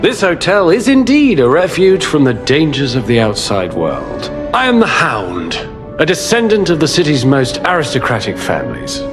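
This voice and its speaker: A vain aristocrat from a video game, grandiloquent and self-satisfied. His fairly high voice swoops dramatically up and down, with music playing behind. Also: huge pitch swings, moderate pace. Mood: grandiose, self-satisfied. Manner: pompous, grandiloquent. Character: pompous, vain aristocratic nobleman treasure keeper. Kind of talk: theatrical game character dialogue.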